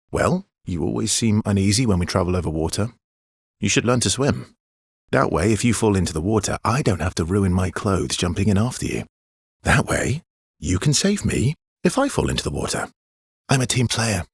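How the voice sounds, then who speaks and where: Husky, brooding, gentle man. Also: no room sound, clean studio sound, full character voice. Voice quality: husky, gravelly low voice. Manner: understated, halting, intimate delivery. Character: brooding, gently teasing, guilt-worn man, hesitant and tender. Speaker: video game voice actor. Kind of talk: naturalistic video game character dialogue.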